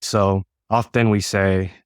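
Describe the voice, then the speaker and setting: A man's voice: low voice, flat delivery, takes it slowly, clear, resonant tone, no echo, studio quality. A man from the Marshall Islands who works as a disease intervention specialist, explaining his work fluently as a guest.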